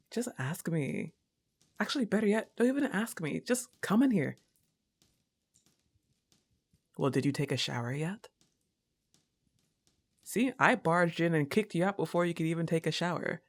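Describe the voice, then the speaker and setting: A woman's voice: lowish voice, expressive, normal speed, long pauses. A woman playing a laid-back, teasing young goth roommate in an ASMR roleplay, with a casual, coaxing manner and a touch of annoyance. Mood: amused, teasing.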